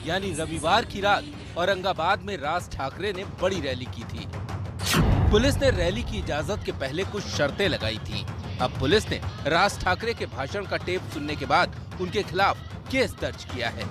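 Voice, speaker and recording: A man's voice: on the high side, expressive, moderate pace. A man reading a scripted television news report as a voice-over. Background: music under the voice-over.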